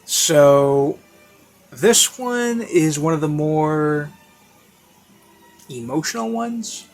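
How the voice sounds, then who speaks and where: A man's voice: fairly high pitch, animated, very slow. A man giving a casual, unscripted review, rambling informally and repeating phrases for emphasis. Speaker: video reviewer. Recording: classical music playing behind voice. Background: baroque classical music.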